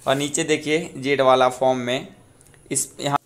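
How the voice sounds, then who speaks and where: A young man from Bihar, India, teaching a pronunciation lesson and repeating each word slowly for practice. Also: medium pitch, steady tone. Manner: slow, repeats words drill-style. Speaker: language teacher.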